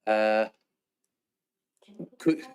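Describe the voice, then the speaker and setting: A man chairing a council planning committee, polite but halting, often restarting words. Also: a little mellow.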